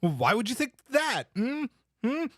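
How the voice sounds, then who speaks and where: A man's voice: very high-pitched, very animated, measured pace, no room sound, clean studio sound, exaggerated voice. A man playing a cocky, bewildered first officer in a table read, glib and incredulous.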